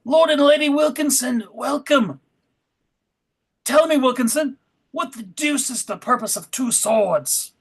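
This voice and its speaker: A man's voice: very high-pitched, unhurried, husky, pitched above the performer's own voice, heavily acted. A man voicing a pompous, hearty English nobleman in a sketch comedy table read. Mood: jovial, pompous.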